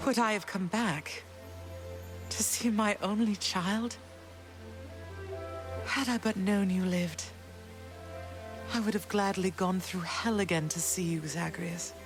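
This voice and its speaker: A woman playing a gentle, dignified long-lost mother goddess in a video game, speaking formally and with feeling. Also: mid-range voice, fairly even intonation, slow pace, pauses often, music in the background. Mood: moved, tender. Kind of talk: dramatic video game acting.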